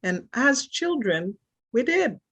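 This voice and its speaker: A middle-aged woman from Haiti whose first language is French, telling her life story as a podcast guest in a thoughtful way, with soft laughs between thoughts. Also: on the high side, sing-song, slow pace, husky. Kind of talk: reflective personal storytelling.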